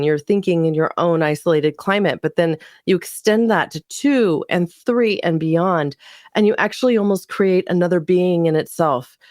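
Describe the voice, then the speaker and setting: A woman's voice: lowish voice, dry recording. Inviting female podcast host, dry sound. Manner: warm, inviting, emphatic. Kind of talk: podcast hosting and interview.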